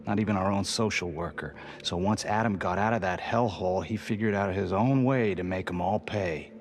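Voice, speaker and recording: An actor playing an intense, manipulative death-row prisoner in a crime game, speaking earnestly and persuasively. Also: male voice, sits low, moderate pace. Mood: bitter, confiding. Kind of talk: cinematic crime game voice acting.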